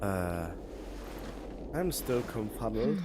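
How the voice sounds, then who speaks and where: A man's voice: on the low side, sing-song, takes it slowly, background noise. A man giving casual live gameplay commentary as a streamer, musing aloud in a puzzled way. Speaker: game streamer.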